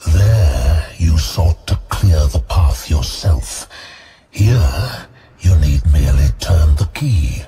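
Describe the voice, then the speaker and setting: A man voicing a cold, commanding demon lord in a fantasy audio drama, with slow, ominous, menacing pronouncements. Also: lively intonation, warm tone, breathy edge, exaggerated voice. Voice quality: deep, menacing. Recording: music behind the voice.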